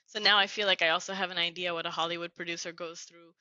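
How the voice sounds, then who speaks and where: Middle-aged woman, earnest guest storyteller, crisp low voice, muffled dry call audio. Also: flat delivery, fast, dry recording. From United States (New Jersey). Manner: warm, earnest, grateful tone. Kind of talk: reflective conversational storytelling.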